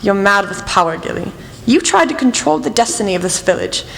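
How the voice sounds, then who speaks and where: A woman's voice: mid-range voice, animated, a little fast, gravelly, fairly bright tone, slight background noise. A woman playing a commanding, shrewd female detective in a radio play, speaking in a stern, formal way. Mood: stern accusing. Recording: long reverb, music underneath.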